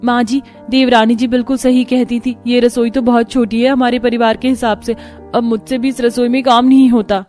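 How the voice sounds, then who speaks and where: A woman's voice: fairly high pitch, flat delivery, normal speed, darkish tone, close to an everyday voice. A woman playing a harried, grumbling poor elder daughter-in-law in a cartoon family story. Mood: complaining. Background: background music.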